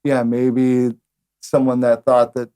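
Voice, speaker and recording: A man's voice: mid-range voice, monotone, slow pace, dark tone, clear, resonant tone. A man hosting a casual interview, speaking hesitantly with many fillers and repeated words. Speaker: host.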